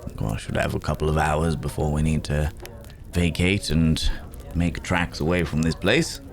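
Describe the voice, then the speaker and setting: A man playing a weary, courteous werewolf traveler in an intimate fantasy ASMR roleplay, speaking in a halting, musing way with soft hums. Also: extremely low pitch, flat delivery, creaky. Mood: wry, easygoing.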